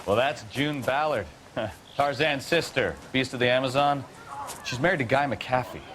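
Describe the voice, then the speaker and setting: A man's voice: mid-range voice, moderate pace, a bit bright, close to an everyday voice. A man plays a chatty detective partner who cracks wise about movie stars. Light background noise sits behind him. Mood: wry. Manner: wry, chatty. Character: wisecracking 1940s detective partner man, talkative.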